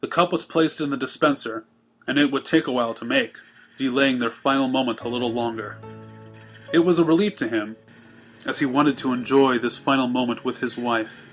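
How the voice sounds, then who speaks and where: A man's voice: medium pitch, fairly quick, soft background music, low-quality recording. A man reading fan fiction aloud as scripted third-person narration. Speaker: story reader.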